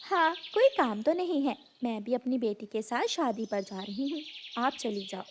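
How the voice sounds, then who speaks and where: A woman's voice: on the high side, expressive, normal speed, a little hiss or hum, close to an everyday voice. A woman voicing a rich, generous bird who is a caring household mistress in a children's cartoon. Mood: kind. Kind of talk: Hindi children's moral cartoon dialogue.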